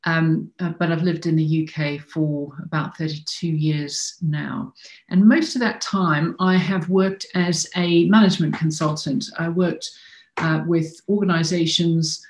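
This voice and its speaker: A woman's voice: low-pitched, fairly even intonation, unhurried, a little mellow. A woman in her fifties from South Africa giving an online conference talk from home over a webcam, hesitant with many fillers and repeated words. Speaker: conference speaker. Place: home room with shelves. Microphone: video call webcam. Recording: echoey room sound.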